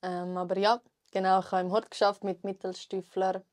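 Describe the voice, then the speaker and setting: A woman's voice: medium pitch, little pitch movement, moderate pace, a little mellow, dry recording, studio quality. A young woman with a Swiss German accent, a guest talking earnestly and chattily in a podcast conversation. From Switzerland. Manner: earnest, chatty, many fillers like voll and so. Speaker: guest rapper.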